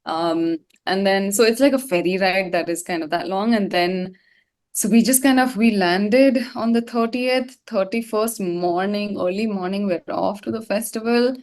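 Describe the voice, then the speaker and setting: A woman's voice: medium pitch, moderate pace, darkish tone. A woman being interviewed as a singer, talking casually in a slangy, rambling way. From India. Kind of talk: casual chat.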